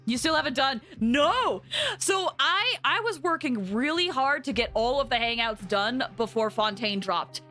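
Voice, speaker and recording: A woman chatting casually to viewers as she hosts a game livestream, in an animated, chatty manner, sounding a little tired. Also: high-pitched, a little slow. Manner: animated, chatty, with excited exclamations. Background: background music.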